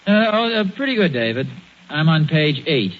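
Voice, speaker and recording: A man's voice: on the high side, sing-song, moderate pace. An American man playing a bumbling, stubborn suburban dad who hems and haws through a 1940s radio comedy. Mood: defensive enthusiasm. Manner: hesitant, hemming and hawing. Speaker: sitcom lead. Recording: muffled, noisy vintage radio broadcast.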